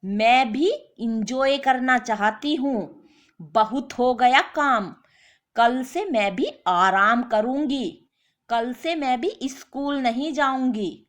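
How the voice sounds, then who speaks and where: A woman's voice: high-pitched, slow pace. A woman voicing a fed-up working wife in a moral story, reproachful and sarcastic. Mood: weary, reproachful.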